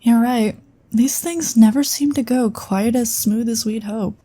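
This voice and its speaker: A woman's voice: fairly high pitch, unhurried, dark tone. A woman playing an earnest, loyal female psion colleague who speaks directly. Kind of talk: fan audio drama acting.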